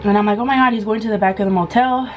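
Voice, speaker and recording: Woman, hoarse, animated storytelling, mid pitch, faint background noise. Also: rapid-fire, dull audio. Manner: animated, acts out quoted lines. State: hoarse from a sore throat. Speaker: vlogger. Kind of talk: casual storytime.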